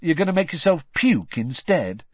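A man's voice: on the high side, big pitch swings, normal speed, dry recording, highs cut off, voice pushed up. A man voicing a cheeky, incredulous teenage schoolboy with a blunt, teasing manner in an audiobook.

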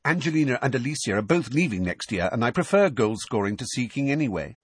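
A man's voice: mid-range voice, big pitch swings, fast talker, slightly rough, dry recording, clean studio sound. A man voicing a plucky, matter-of-fact younger teenage girl in an audiobook, offhand and confident.

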